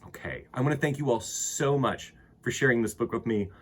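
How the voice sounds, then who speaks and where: A man with a husky voice reading aloud to children as a storytime host, expressively, with dramatic emphasis and pauses. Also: mid-range voice. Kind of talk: animated picture-book read-aloud for children.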